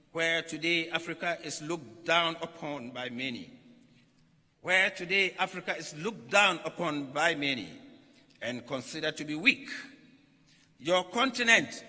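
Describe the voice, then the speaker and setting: A man delivers a formal written address with emphatic oratory. His voice is very sharp and forceful, with a husky rasp to it. Long reverb from a large hall trails behind his words. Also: a little high. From Ghana. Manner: emphatic oratory, repeats phrases for weight. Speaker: religious community leader reading a message. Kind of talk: formal read-out conference speech.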